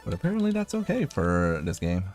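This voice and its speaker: A man's voice: low-pitched, wildly swooping pitch, brisk, a little mellow, soft background music. A man giving casual video game commentary as a streamer, rambling and thinking aloud as he plays.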